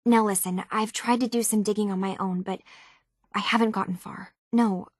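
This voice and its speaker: A woman's voice: mid-range voice, fairly even intonation, normal speed, slightly rough, dry recording, clean studio sound, close to an everyday voice. An actress voicing an earnest, inquisitive young woman synth in a video game, speaking in a hesitant, conversational way. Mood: urgent, pleading. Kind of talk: video game character dialogue.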